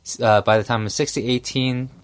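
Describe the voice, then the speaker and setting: A man in his forties co-hosting a podcast, chatting casually with a relaxed, joking manner. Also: medium pitch, fairly quick, smooth. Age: 40s. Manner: relaxed, self-deprecating, joking. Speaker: podcast co-host. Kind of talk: casual chat.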